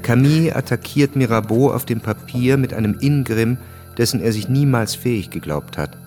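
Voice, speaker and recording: An actor as a detached chronicler, narrating a historical radio drama in a factual, measured manner. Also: male voice, medium pitch, warm tone, faint music behind, natural voice. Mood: sober. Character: sober, detached male chronicler of historical events.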